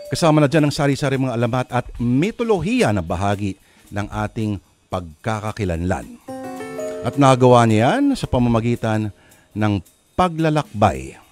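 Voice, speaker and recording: Filipino male host for dramatic radio storytelling: solemn phrasing, animated intonation, prominent music underneath. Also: mid-range voice. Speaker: host and narrator. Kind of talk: dramatic scripted radio narration. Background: prominent background music.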